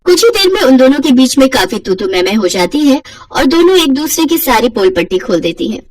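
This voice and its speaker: A woman's voice: sits high, sing-song, fairly quick. A woman storyteller reading a scripted moral story as its narrator.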